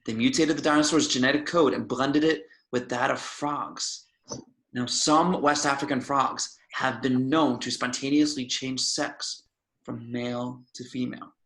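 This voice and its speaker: A man plays a quietly amazed scientist explaining things at a table read. His voice is creaky and level in pitch, with halting phrases. The room echoes noticeably. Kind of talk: amateur screenplay table read.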